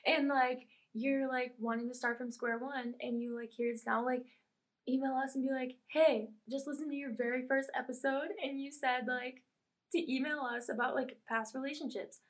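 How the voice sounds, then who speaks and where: A young woman co-hosting a podcast in casual chat, with a soft, quiet voice and lots of "like" fillers, while she is losing her voice. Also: sits high, steady tone, moderate pace. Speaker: podcast co-host.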